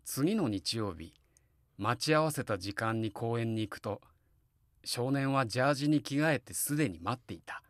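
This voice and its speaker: A wistful, kindly middle-aged man played by an actor tells his story in a voice drama. His medium-pitched voice moves with lively inflection between reflective narration and hearty, coaxing talk. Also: normal speed, no echo, clean studio sound, natural voice. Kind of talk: Japanese voice drama, narration and dialogue.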